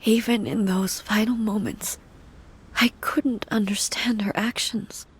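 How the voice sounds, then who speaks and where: A hushed, regal grieving goddess. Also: female voice, fairly high pitch, slightly rough, full character voice. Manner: soft, hushed and measured. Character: solemn, regal goddess grieving her sister. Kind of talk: video game dialogue.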